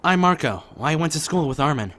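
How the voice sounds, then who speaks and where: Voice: mid-range voice, lively intonation, brisk, fairly bright tone, close to an everyday voice. A performer playing a kind, friendly, earnest teenage boy in a fan-made audio drama. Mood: cheerful, friendly.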